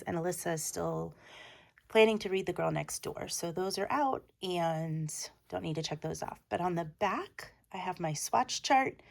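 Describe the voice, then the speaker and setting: Woman vlogger, stuffy from a cold, low-ish voice, relaxed chatty delivery with restarts. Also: creaky. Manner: chatty, with frequent ums and restarts. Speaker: reading journal vlogger. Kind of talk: casual chat and voiceover. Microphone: voiceover mic.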